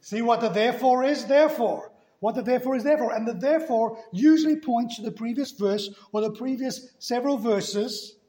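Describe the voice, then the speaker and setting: A man's voice: very high voice, fairly quick, few pauses, dark tone. A man preaching a sermon in a church, emphatic, hammering key words again and again. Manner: emphatic, repeats key words with rising force. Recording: echoey room.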